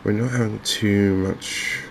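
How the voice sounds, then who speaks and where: A man with an English accent giving softly spoken, unhurried ASMR game commentary, musing gently and hesitantly as he goes. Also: lowish voice, fairly even intonation, low-quality recording. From England. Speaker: gaming video host. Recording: faint background noise from open windows and fans.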